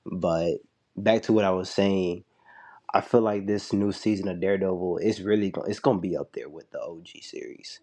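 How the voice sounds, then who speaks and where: A man's voice: low voice, fairly even intonation, moderate pace, a little mellow. A young man giving a loose, chatty spoken review, full of "like" and restarts, while a little sick. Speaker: show reviewer.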